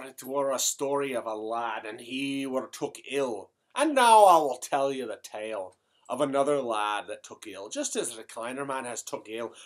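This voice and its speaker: This is a rambling old Irish storyteller, as a man puts him on, full of broad dialect and tag phrases like "he has." The pitch swings widely from one phrase to the next. He winds through his tale in an improvised comic monologue. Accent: put-on Irish.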